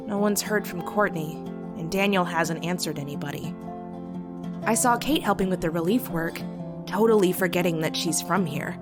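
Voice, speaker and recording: Woman voicing a sardonic teenage girl, slightly low voice, loyal, emotive journal narration, comic dub drama, guitar music behind. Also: natural voice. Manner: wry, sarcastic, emotive. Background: soft guitar music.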